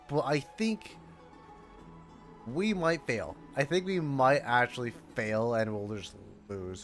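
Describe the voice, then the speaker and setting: A man streaming casual game reaction commentary, haltingly repeating his phrases as he thinks aloud. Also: mid-range voice, a bit bright. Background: game music.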